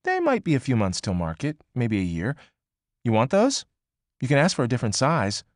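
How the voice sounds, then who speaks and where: A man's voice: mid-range voice, wildly swooping pitch, dry recording, studio quality, pitched above the performer's own voice. A man voicing a brash, pushy young woman. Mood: breezy. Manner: quick, emphatic, swearing freely. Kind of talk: audiobook character voice.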